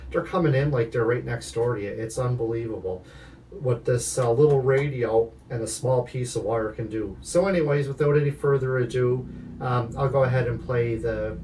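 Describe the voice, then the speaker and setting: A man's voice: medium pitch, dark tone, recorded from a distance, a little hiss or hum. A man giving a casual, unscripted product review in a hesitant, rambling way. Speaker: video maker reviewing a radio. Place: inside an apartment.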